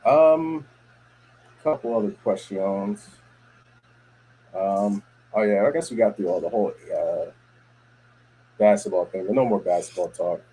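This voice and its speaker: A man's voice: on the low side, unhurried, long pauses, dark tone, slight background noise, poor audio. A man co-hosting a podcast from home, talking casually and rambling with many fillers and restarts. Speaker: podcast co-host. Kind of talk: casual chat.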